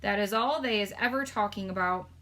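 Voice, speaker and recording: A woman's voice: medium pitch, brisk, bright, forceful tone, lightly acted. A woman voicing an earnest, touchy giant man in a storybook, speaking emphatically with folksy, muddled grammar. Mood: excited. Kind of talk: storybook read-aloud character voice.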